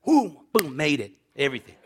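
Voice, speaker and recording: Animated fifty-something male pastor. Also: a little high, brisk, husky. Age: 50s. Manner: animated, repeats words, laughs often, acts out quoted voices. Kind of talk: sermon with personal storytelling.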